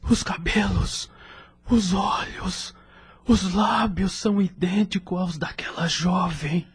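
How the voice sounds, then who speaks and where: A man's voice: high voice, fairly even intonation, takes it slowly, breathy edge, full character voice. A man playing a devoted, grieving widower in an audio drama, earnest and emotional in his delivery. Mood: grief, shock. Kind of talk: melodramatic audio drama acting.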